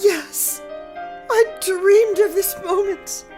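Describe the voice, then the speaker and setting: A woman's voice: very high-pitched, slow pace, a little mellow, faint music behind. A woman playing a fragile, haunted wife and mother in a gothic audio melodrama, breathless, tremulous and overwrought. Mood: enraptured, fearful.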